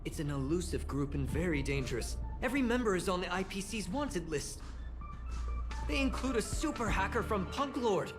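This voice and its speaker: A woman's voice: on the low side, very animated, moderate pace. A woman voicing an earnest, talkative young cybersecurity engineer in a video game, explaining things expressively. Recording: game music behind voice. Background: game music.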